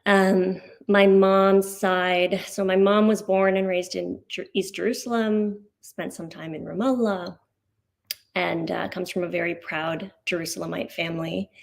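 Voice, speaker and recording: A woman from Canada, invited to speak at a community gathering. Her voice sits a little low and has a gravelly fry in it. She talks in an earnest, personal way and pauses now and then. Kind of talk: personal talk at a community event.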